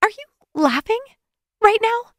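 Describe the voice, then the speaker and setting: A woman's voice: high voice, lively intonation, moderate pace, a bit bright, no echo, clean studio sound, voice pushed up. A woman voicing a heartbroken, flustered young woman who blurts out her feelings in tearful outbursts. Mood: upset.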